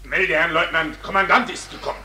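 Strict sergeant, high-pitched, piercingly bright, curt and barking. 1940s radio-play delivery. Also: male voice, fairly quick, a little hiss or hum. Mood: officious. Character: brisk, strict male army sergeant, a guard.

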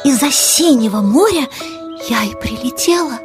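A woman voicing a weary, modest, gentle butterfly in a children's audio play, with a plaintive, sighing, polite manner. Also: high-pitched, lively intonation, normal speed, over music. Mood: earnest.

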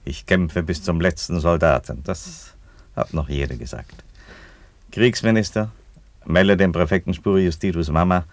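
Very deep, dry, deadpan Roman emperor. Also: male voice, a little slow, no room sound. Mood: ironic, calm. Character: unhurried, ironic Roman emperor fond of his chickens. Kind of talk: German radio play acting.

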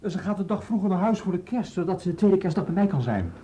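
An actor in a radio play voicing an eager male office colleague who chatters quickly and lightly. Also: sits high, expressive, dark tone. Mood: chatty. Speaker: colleague at the police bureau. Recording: dull, muffled old radio recording.

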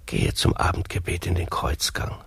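A man playing a conniving court priest and confessor in a comic radio play, hushed, murmuring and sanctimonious. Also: normal speed, no echo, close to an everyday voice. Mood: businesslike.

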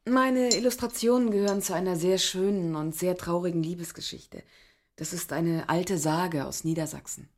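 A woman with a slightly low voice, playing a guarded newcomer to the countryside in a radio drama. She starts hesitantly, then turns pointed and teasing. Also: moderate pace, a little mellow. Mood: reflective. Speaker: illustrator. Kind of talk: German radio drama acting.

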